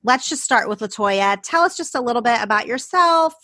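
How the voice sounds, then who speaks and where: A woman's voice: a little high, lively intonation, brisk, sharp tone. A woman in her thirties with an Oklahoma accent, introducing her podcast episode in an earnest, reflective way. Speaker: podcast host. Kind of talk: podcast intro then conversation.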